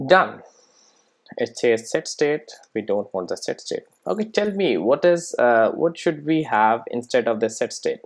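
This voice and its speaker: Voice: mid-range voice, animated, unhurried, creaky. Informal coding tutor thinking aloud. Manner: checks in with rhetorical questions and tag "right?"; thinks aloud while typing code. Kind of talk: informal screen-recorded programming tutorial.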